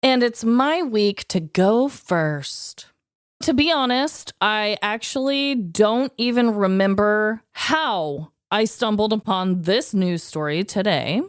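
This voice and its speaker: A woman's voice: mid-range voice, slow pace, clear, resonant tone, no echo, clean studio sound. Woman podcast host, casual funny storytelling, voice goes up and down a lot. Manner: expressive, playfully reactive. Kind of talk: casual comedic chat and storytelling.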